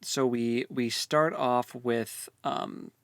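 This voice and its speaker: A man with a mid-pitched voice co-hosts a podcast in a very dry-sounding space. He talks casually about a book, with slangy, loose phrasing. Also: steady tone, very slow, fairly bright tone, no room sound. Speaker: podcast co-host. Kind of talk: casual book discussion chat.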